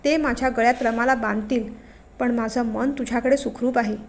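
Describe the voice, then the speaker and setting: A woman's voice: fairly high pitch, steady tone, brisk, natural voice. A woman voicing an earnest, trapped young man. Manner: pleading, earnest. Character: anxious young man torn by family.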